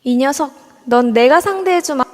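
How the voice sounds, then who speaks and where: A woman's voice: high voice, lively intonation, moderate pace. A woman voicing a haughty young maiden ghost who rules a haunted hotel, taunting and commanding, in an amateur audio drama. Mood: scornful. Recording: long reverb over a voice app.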